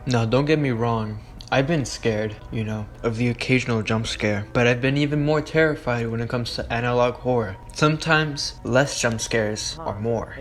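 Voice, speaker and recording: A man's voice: mid-range voice, moderate pace, hardly any pauses. A man giving an informal video essay commentary in an earnest, conversational, rambling manner. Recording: music under the voice. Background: music.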